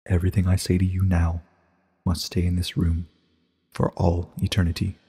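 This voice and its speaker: Man as a low, husky, solemn pony ruler. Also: completely flat delivery, mellow, big-room sound, full character voice. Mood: solemn, grave. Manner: measured, solemn, deliberate. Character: calm, grave, ancient female pony ruler, regal and guarded. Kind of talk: dramatic reading of a short story.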